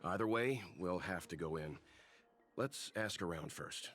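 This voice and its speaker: An actor playing a weary, calm middle-aged man with a fatherly way of talking. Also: low-pitched, unhurried, faint music behind. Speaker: game character.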